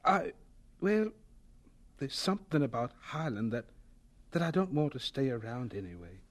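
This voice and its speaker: An actor playing a young hired hand of about twenty in a radio drama, polite, reserved and hesitant. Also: male voice, mid-range voice, very animated, moderate pace. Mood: hesitant, wondering. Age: young adult. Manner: deferential, hesitant, subdued.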